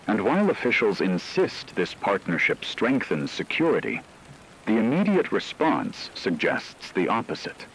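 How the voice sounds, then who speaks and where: A man playing a grave radio anchor, delivering a scripted emergency war broadcast in measured, solemn, formal tones. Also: on the low side, huge pitch swings, fairly bright tone, slight background noise, full character voice, low-quality recording. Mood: grave, urgent.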